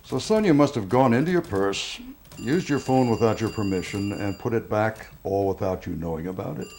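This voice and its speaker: A man acting as a calm, persistent, skeptical police interrogator, probing in an understated film style. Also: lowish voice, moderate pace. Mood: pressing, skeptical. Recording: faint background noise.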